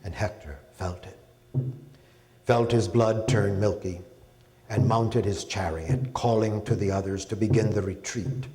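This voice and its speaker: A man in his sixties recites epic verse to a hall. His voice is fairly low and very slow, with solemn, deliberate phrasing. Long reverb trails behind each phrase. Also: mellow. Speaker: professor and translator reading. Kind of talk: dramatic poetry recital, epic narration. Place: indoor hall, public reading.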